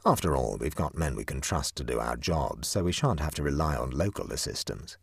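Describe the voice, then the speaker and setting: A man's voice: rumbling low, a little fast, gravelly, husky, dry recording, voice pushed down, exaggerated voice. A man doing a cool, unruffled young prankster. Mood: cool, nonchalant. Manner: calm, dry and matter-of-fact.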